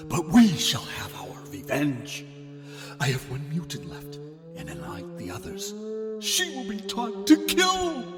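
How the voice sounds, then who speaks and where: A man's voice: on the high side, voice pushed up. A man voicing a sinister, grandiose old mad scientist in a theatrical horror radio play. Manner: theatrical, ranting or silkily menacing. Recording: long echoing reverb, music behind.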